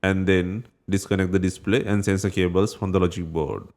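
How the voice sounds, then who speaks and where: A man with a low-pitched voice delivers scripted tutorial narration. He gives short, plain instructions one step at a time, in the manner of a repair guide. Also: little pitch movement, brisk, darkish tone.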